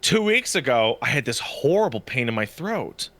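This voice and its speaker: A man co-hosting a podcast in casual chat, sniffly, telling stories in an animated way with lots of swearing. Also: medium pitch, moderate pace. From Canada. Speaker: podcast co-host.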